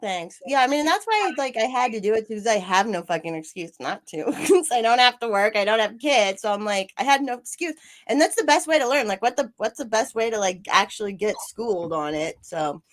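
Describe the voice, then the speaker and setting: A woman's voice: fairly high pitch, expressive, fairly quick. A woman hosting a casual livestream chat, rambling and swearing freely, laughing often. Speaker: podcast host, former city council candidate.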